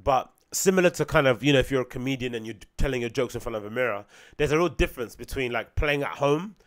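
A young man with a London accent and a slightly raspy voice chats casually to his listeners. He rambles, throws in slang and the odd swear word. He speaks close to a boom mic in a small home room. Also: animated, fast talker. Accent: London English. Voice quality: raspy today. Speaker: podcast host and DJ. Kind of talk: casual solo podcast chat. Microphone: studio mic on boom arm close to mouth.

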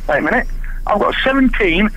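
A man calling a radio phone-in, arguing and interrupting with rapid rhetorical questions. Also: high-pitched, animated, a bit bright, low-quality recording. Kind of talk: argumentative call-in.

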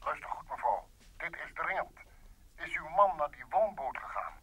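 A mysterious, commanding caller in an old radio play. His voice is rough, sharp and rather high for a man, heard through a telephone filter. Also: very animated, normal speed, heavily acted, low-quality recording. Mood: urgent, commanding. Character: urgent, mysterious male caller giving warnings.

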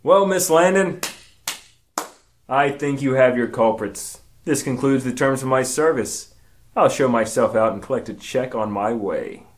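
A man's voice: mid-range voice, measured pace, close to an everyday voice. A man playing a self-important, stern investigator questioning schoolchildren with melodrama in a comedic radio drama. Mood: stern, pompous.

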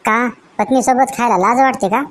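A woman playing a sharp-tongued, nagging wife in comic cartoon skit dialogue. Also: fairly high pitch, a little fast, very noisy, close to an everyday voice. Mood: indignant.

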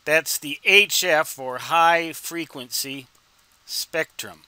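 Bright, crisp-edged man in his sixties, unhurried. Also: on the high side, dry recording. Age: 60s. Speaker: instructor and ham radio hobbyist. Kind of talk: informal explanatory tutorial.

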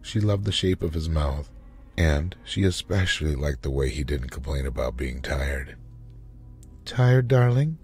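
Man, story narration, very deep pitch, dark soft timbre, faint music behind. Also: fairly even intonation, moderate pace.

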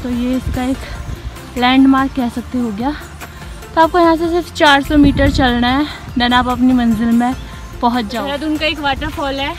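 A young Indian woman with a high voice chats her way along a hillside trail, teasing a friend between directions. Her phone picks her up outdoors, and loud music plays underneath. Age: young adult. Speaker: vlogger. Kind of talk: casual travel vlog. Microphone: phone camera.

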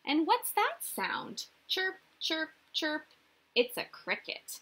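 Young woman reading to kids, animated and bright. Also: very high-pitched, slow pace. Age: young adult. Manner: expressive, sing-song, addressing children with questions. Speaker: teacher reading aloud to young children. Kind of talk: children's picture book read-aloud. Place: small indoor room.

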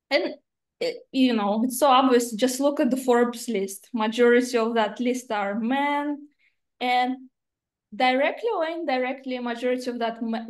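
A woman in her thirties with a Russian accent, speaking as a guest investor in a remote interview, with earnest, slightly halting phrasing. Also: fairly high pitch. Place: home office indoors. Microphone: laptop camera on video call. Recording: roomy video-call audio.

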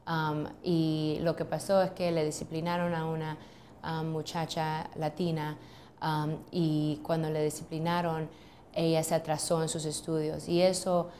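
A woman's voice: deep voice, flat delivery, unhurried, warm tone, a little hiss or hum. A woman in her thirties, a lawyer, answering interview questions in an office, hesitant with many ums and restarts. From United States. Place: office, seated at a desk.

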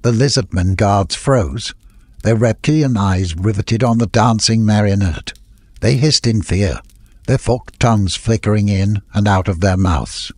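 A man's voice: fairly low pitch, measured pace, no echo. A man reading scripted fantasy story narration as an audiobook narrator.

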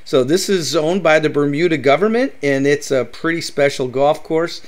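A man's voice: fairly high pitch, normal speed. Upbeat middle-aged man hosting travel tips. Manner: upbeat, repeats "that's right". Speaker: travel vlog host. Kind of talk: enthusiastic travel guide narration.

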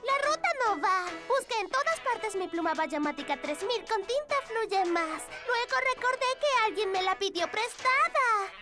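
Pushy chatty cartoon girl, tiny high voice, dramatic, loud music behind. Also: expressive, moderate pace, hardly any pauses. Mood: fussy complaint. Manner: precise, wordy, dramatic. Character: fussy, chatty, pushy young blueberry girl. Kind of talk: dubbed children's cartoon acting. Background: loud playful children's music.

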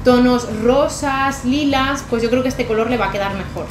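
A woman's voice: a little high, moderate pace, noisy background. A young woman with a Spain Spanish accent, chatting casually through a makeup tutorial as a beauty vlogger. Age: young adult. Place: small bright bedroom, indoors. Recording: echoey room with background music.

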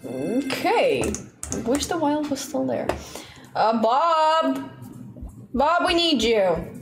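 A woman's voice: sits high, big pitch swings, drawn-out words, over music. A young woman livestreaming a slot game, chatting casually and playfully coaxing the game along with short repeated pleas. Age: young adult. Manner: playful, repetitive pleading with the game. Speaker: slot streamer.

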